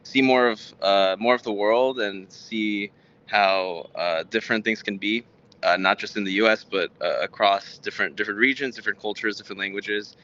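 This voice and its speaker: An American man in his twenties, a native Spanish speaker from Texas, answering interview questions in a halting, rambling way with stammered repeats. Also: fairly low pitch, sharp tone, muffled recording. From Houston, Texas, United States. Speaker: guest, tech startup founder.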